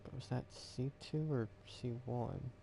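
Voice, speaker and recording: A man's voice: mid-range voice, fairly even intonation, a little slow, creaky, mellow, a little hiss or hum. A man streaming a game in casual chatter, muttering as he thinks aloud.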